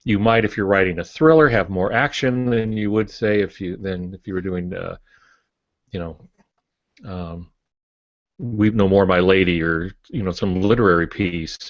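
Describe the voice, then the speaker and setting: An older man co-hosting a podcast, giving firm opinions in casual talk with pauses mid-thought. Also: deep voice, lo-fi sound. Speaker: podcast co-host.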